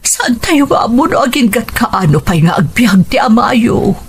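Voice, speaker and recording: A woman playing a patient mother of grown children in a radio drama, gently imploring with an Ilocano accent. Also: on the high side, sing-song, normal speed, slight background noise. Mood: earnest pleading.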